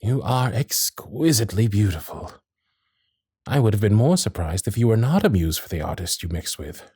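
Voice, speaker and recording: A man playing a courteous, protective Victorian gentleman suitor in a romantic period ASMR roleplay, with formal, old-fashioned phrasing and dramatic pauses. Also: fairly low pitch, sing-song, husky, no room sound, studio quality, full character voice. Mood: reassuring, resolute. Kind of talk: romantic period ASMR roleplay, intimate one-sided dialogue.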